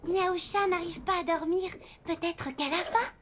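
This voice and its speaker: A performer voicing an excitable, adventurous little pirate girl captain in a children's cartoon, exclamatory, bossy and playful. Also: very high voice, moderate pace, slight background noise. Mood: puzzled. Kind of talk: children's animated cartoon acting. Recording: loud cartoon music behind the voice.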